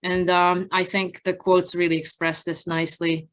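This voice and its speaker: A woman researcher giving an academic presentation from slides in a measured, halting, explanatory way with frequent pauses. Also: fairly low pitch, level tone. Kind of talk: conference research presentation, partly improvised from slides.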